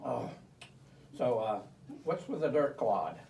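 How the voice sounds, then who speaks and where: Man voicing a dim puppet sidekick, wildly swooping pitch. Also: very animated, vocal fry, darkish tone, full character voice. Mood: naive curiosity. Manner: slow-witted, hesitant, naive. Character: dim, curious, easily distracted puppet sidekick. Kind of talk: comic church puppet show dialogue. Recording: roomy, distant, hissy live recording.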